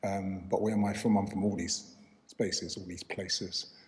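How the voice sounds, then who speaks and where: Middle-aged man, low-pitched, thoughtful interview answers, recorded in a reverberant hall. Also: level tone. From London, England. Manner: thoughtful, measured, frequent "um" and restarts. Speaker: interviewee, filmmaker and lecturer. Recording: roomy echo with long reverb, faint background noise.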